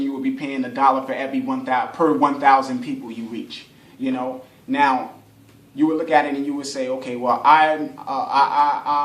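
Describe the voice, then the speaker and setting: A man giving an informal workshop explanation as an instructor, conversational, repeating phrases for emphasis and acting out hypothetical examples. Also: mid-range voice. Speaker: workshop instructor, advertising specialist. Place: indoor room at a radio station. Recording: roomy, echoey workshop recording.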